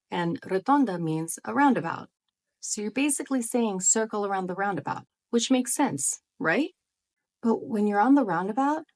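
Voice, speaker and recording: A woman speaks to her viewers in a friendly, explanatory tone. She often poses rhetorical questions in a voice of average pitch, as in a scripted vlog. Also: darkish tone. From United States. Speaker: vlogger. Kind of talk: scripted talk to camera.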